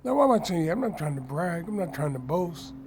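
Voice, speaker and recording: A man's voice: fairly high pitch, very animated, fast talker, background noise. A man, getting over a cold, talking emphatically and repetitively in a casual live stream about his businesses. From New York. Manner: emphatic, repetitive, self-promoting. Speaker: fashion designer and business owner.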